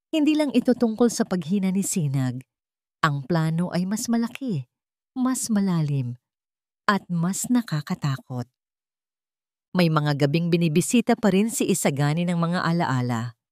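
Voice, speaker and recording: Lower-voiced woman narrating a drama. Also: darkish tone, no room sound, clean studio sound. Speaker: story narrator. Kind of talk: scripted dramatic narration of a love story.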